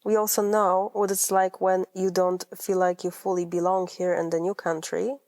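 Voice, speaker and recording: A woman in her thirties with a Polish accent, whose first language is Polish, speaks in a low-pitched voice. She is a life coach hosting her own podcast, talking in an earnest, personal way and rolling her R's. The recording is dry and close. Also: a little mellow, no echo. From Poland. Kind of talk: scripted podcast monologue.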